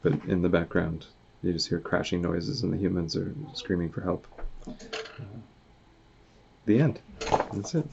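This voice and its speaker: A man with a low voice reads a storybook aloud. He is casual and acts out the lines and noises as he goes. The recording is dull and slightly muffled. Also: fairly even intonation, unhurried, creaky, long pauses, darkish tone, slight background noise. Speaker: author reading their own comic aloud. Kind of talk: animated storybook read-aloud with sound effects.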